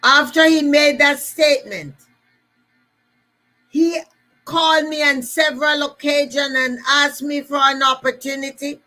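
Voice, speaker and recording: A woman's voice: high-pitched, pushed and bright, poor audio. A woman with a Jamaican accent giving an emphatic, repetitive motivational talk to listeners. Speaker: motivational speaker.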